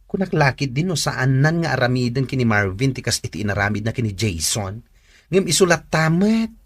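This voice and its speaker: A gossipy, opinionated young friend in a radio drama, played by a man. The voice is husky and chatty, with very animated swoops in pitch. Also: brisk. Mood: gossipy, exasperated.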